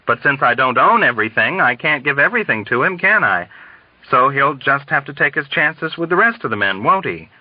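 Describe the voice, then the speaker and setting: An earnest mess officer from a novel reading, played by a man, pleads deferentially for his own interests. His pitch moves freely, and the recording is dry and slightly dull. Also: sing-song, fairly quick, dry recording. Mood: earnest, pleading. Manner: deferential, plaintive, persevering. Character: simple, earnest, self-serving male military mess officer. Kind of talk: dramatized audiobook reading.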